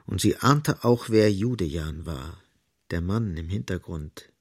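An actor as the grave, sardonic narrator of a literary radio drama, speaking deliberately and with dramatic emphasis. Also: male voice, low voice, animated, breathy edge, no echo, clean studio sound, exaggerated voice.

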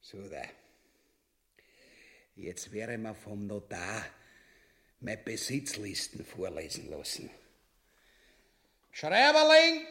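A man's voice: fairly low pitch, sing-song, slow, pauses often, sharp tone, exaggerated voice. An actor playing a greedy, boorish usurper king and husband in a grotesque radio drama, blustering and commanding in an Austrian accent. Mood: greedy, plotting.

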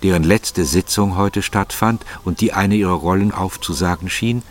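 A man's voice: deep voice, normal speed, background noise. A man reading scripted literary narration in German as the narrator of a story.

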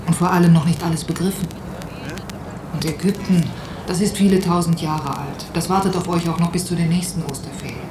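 Actress as low-pitched, earnestly pleading woman. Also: fairly even intonation, fairly quick, natural voice. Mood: earnest, persuasive. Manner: earnest, insistent. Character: earnest persuasive woman pleading for her friend. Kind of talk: radio drama letter monologue. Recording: noisy cassette, echoey voice.

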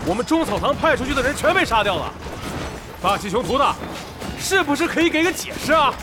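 A man's voice: extremely high pitch, very animated, quick pace, over music. A man playing a hot-tempered, indignant online-game guild leader in an audio drama, blustering and stammering when flustered.

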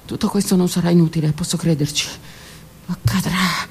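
Woman, theatrical monologue, dramatic and introspective with hushed passages; gravelly texture, faint hiss behind. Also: fairly low pitch, steady tone, fairly quick. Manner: introspective, dramatic delivery, drops to whispers. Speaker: stage performer. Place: stage or studio performance.

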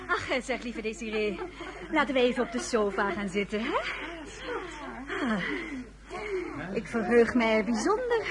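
A woman playing a gracious high-born lady at an imperial court in a radio drama, polite, formal and a little halting. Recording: murmuring crowd behind.

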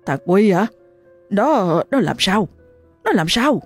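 A woman's voice: mid-range voice, very animated, slow pace, slightly rough, soft background music, exaggerated voice. A woman voicing a middle-aged husband, startled awake and confused.

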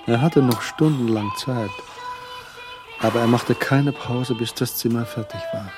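A man's voice: medium pitch, measured pace, music in the background, lightly acted. A man performing as the third-person narrator of a German crime radio drama, with scripted, tense storytelling. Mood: brooding.

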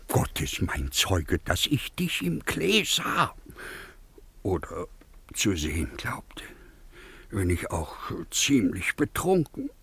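A tippling, disgraced tutor in a classical radio comedy. He is very husky and creaky, drags his words slowly and pleads or schemes in an exaggerated, halting way, with wide swings of pitch. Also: pushed and bright, dry recording, full character voice. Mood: vengeful muttering. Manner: halting, exaggerated, muttering to exclaiming. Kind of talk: German radio play acting of a classical comedy.

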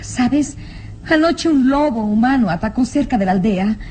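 A woman plays a nobleman's young daughter in an old radio drama. Her voice is high and earnest, with the anxious, pleading manner of a dutiful girl. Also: lively intonation, normal speed, warm tone, natural voice.

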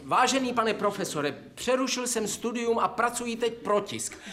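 A man's voice: very high-pitched, animated, crowd noise. A man playing an eager, deferential young newspaper reporter in a radio play, formal and insistent in his questions. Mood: eager, pleading.

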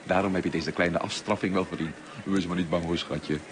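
A man's voice: deep voice, fairly even intonation, brisk, crowd noise, natural voice. An actor playing a good-humoured young husband and father in a Dutch radio play, polite and bantering. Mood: amused. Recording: noisy old radio play recording.

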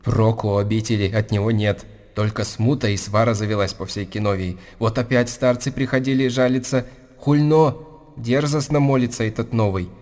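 A meek monk played by a male actor grumbles in a radio play. The voice is fairly low and keeps to a narrow, even line, murmuring and plaintive. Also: moderate pace, natural voice. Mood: complaining. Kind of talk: radio drama acting.

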